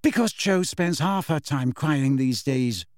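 Man voicing a brisk, bossy teenage girl. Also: a little high, big pitch swings, a little mellow, no echo, clean studio sound, voice pushed up. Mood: vague, matter-of-fact. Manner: businesslike, dignified, exasperated.

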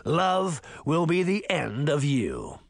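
A man voicing a menacing wolf monster in a storybook, mocking and slow, with a low growl. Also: huge pitch swings, gravelly, dry recording, studio quality, full character voice. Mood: amused menace. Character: menacing male wolf monster, sadistically amused, taunting.

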